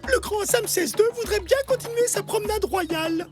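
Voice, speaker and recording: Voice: extremely high pitch, moderate pace. A woman voicing a vain, whiny, theatrical young male boar in a cartoon, who fancies himself a sacred cat. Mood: haughty, indignant. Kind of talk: animated cartoon dubbing. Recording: music behind the voice.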